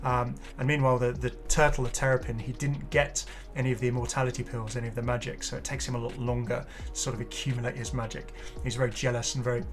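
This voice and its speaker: A man's voice: medium pitch, level tone, fast, few pauses, music in the background. A man giving casual, off-the-cuff video commentary as a gaming host, rambling and hesitant with apologetic asides.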